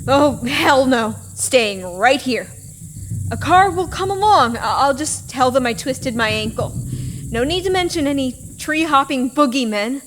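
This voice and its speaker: A woman's voice: sits high, animated, a little slow. A woman playing a frightened but stubborn runner alone at night, agitated and muttering to herself in an audio drama. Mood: defiant. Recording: clear noise, night insects behind.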